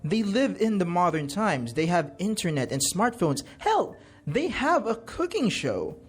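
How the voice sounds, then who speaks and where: A man's voice: sits high, sing-song, measured pace, husky. A man speaking as a guest commentator, giving his opinions with emphatic stress on key words.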